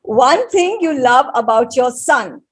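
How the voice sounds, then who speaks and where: Brief: female interview host, giggly, high lively voice, unhurried. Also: breathy edge. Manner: giggly, encouraging, short reactions. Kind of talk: rapid-fire interview questions.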